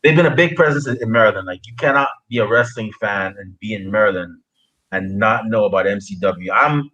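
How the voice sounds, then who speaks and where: A man from Guyana hosting a casual interview, speaking haltingly and often repeating phrases as he goes. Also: fairly low pitch, sharp tone, lo-fi sound. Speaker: podcast interviewer. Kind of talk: casual chat.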